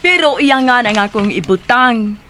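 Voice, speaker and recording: The studious favourite twin in a radio drama, with a Cebuano accent. She sounds eager and upbeat. Her voice is high, bright and sharp. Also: lively intonation, normal speed, a little hiss or hum.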